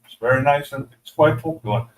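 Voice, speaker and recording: A man who runs a crepe restaurant, chatting casually about his menu and pausing often with fillers. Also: medium pitch, reverberant, far from the mic, poor audio. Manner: hesitant, many uh fillers while describing dishes. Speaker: restaurant owner. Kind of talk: casual chat.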